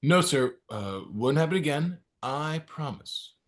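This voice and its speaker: A man performs a ski bum dude in his twenties, slangy and whiny. His high voice swings around a lot as he pleads his way through a comic script reading. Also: animated, a little slow. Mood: easygoing. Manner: slangy slacker, whining. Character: laid-back, pleading young ski bum, male, twenties. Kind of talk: comedic table-read acting.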